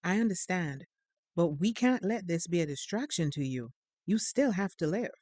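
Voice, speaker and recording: A woman's voice: lowish voice, expressive, normal speed, darkish tone, dry recording, clean studio sound. An actress playing a caring mother of a teenager in an audio drama, affectionately teasing and nudging.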